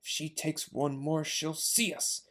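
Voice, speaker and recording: A reader voicing a clever male fox in a storybook, speaking in a hushed, urgent way. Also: sits low, steady tone, a little slow. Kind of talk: storybook read-aloud.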